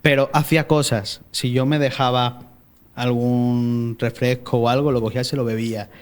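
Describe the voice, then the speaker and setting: A man's voice: medium pitch, flat delivery, normal speed. A young man from Spain with a Málaga accent mixed with Mexican traces, sharing his faith story as a podcast guest in a chatty way, full of hesitations and restarts. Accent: Málaga Spanish with Mexican traces. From born in Mexico, raised in Spain. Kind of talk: personal faith testimony in casual conversation.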